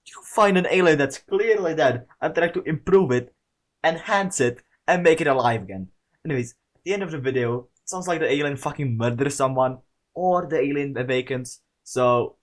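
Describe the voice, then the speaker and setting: A man giving casual, informal video commentary in a slangy, sweary way, as a creator talking through an analysis. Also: medium pitch.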